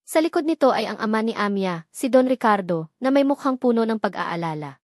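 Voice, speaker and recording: A woman storyteller giving a steady, scripted dramatic narration. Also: a little high, fairly quick, no echo, studio quality.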